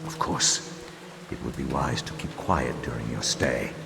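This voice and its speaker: A stern inquisitor intones with zealous pomp in a very deep, creaky voice. The delivery is declamatory and menacing, in video game cutscene style, set in a long hall reverb with clear storm noise. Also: male voice, lively intonation, measured pace, full character voice. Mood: coolly menacing. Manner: pompous, declamatory.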